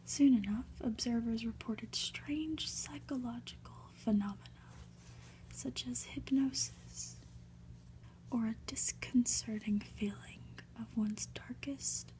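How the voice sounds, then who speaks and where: A woman reads a story in a soft ASMR whisper. Her delivery is slow and gentle, with a mellow, hushed tone and quiet asides. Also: a little high, low-quality recording. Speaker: ASMR reader and host.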